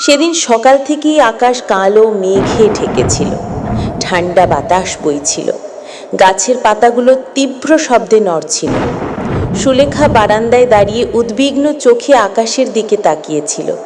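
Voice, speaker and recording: A woman storyteller speaks very slowly in a fairly high voice. She narrates a scripted tale with measured, expressive phrasing, over faint background noise.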